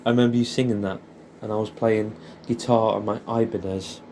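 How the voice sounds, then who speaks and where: English man with a bright, chatty voice. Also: on the low side, steady tone, a little fast, a little hiss or hum. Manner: rambling, sweary, matey. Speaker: audio storyteller addressing an old friend. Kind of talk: casual intimate monologue, reminiscing.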